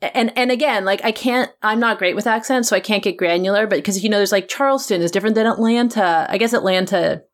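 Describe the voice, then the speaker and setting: A woman's voice: medium pitch, quick pace, hardly any pauses. A woman with a Canadian accent, chatting casually as a podcast host. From Vancouver, Canada. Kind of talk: casual chat.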